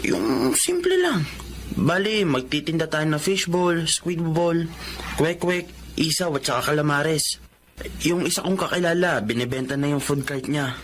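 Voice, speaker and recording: An actor playing a hardworking, respectful young husband with a Filipino accent in a radio drama, sounding earnest and hopeful. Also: male voice, on the high side, expressive, a little slow, slight background noise.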